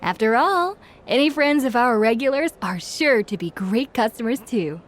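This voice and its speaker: A woman's voice: fairly high pitch, expressive, moderate pace, close to an everyday voice. A woman voicing a cheerful, gossipy young tavern keeper in a video game. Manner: friendly, gossipy. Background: game music.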